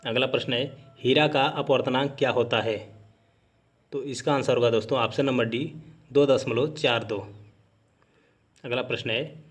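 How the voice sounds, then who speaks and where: Man, medium pitch, exam-prep teacher, methodical quiz-style narration, formulaic question-and-answer delivery. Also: steady tone, normal speed, long pauses, faint music behind.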